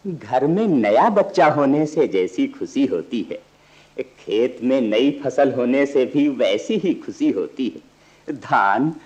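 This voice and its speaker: Slow, lilting humble village farmer. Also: male voice, mid-range voice, darkish tone. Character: humble, devout village farmer, proud of his crops. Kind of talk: radio play acting. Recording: dull archival radio recording.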